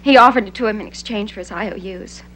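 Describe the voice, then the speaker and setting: An actress playing an anxious, desperate young American informant in a 1960s television crime drama. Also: female voice, medium pitch, fast, a bit bright, lightly acted. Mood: regretful, confiding. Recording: noisy old TV soundtrack with hum.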